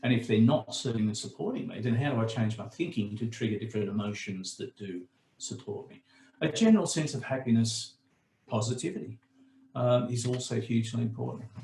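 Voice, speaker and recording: Man, mid pitch, croaky today, chatty webinar presenter explaining ideas, heard in an echoey room. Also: a little mellow, slight background noise. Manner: conversational, explanatory, frequent fillers.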